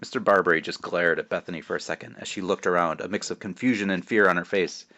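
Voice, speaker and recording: A man's voice: deep voice, fast talker, sharp tone, highs cut off. A man speaking as a children's author, informally reading a storybook aloud with modest asides. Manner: self-deprecating, informal.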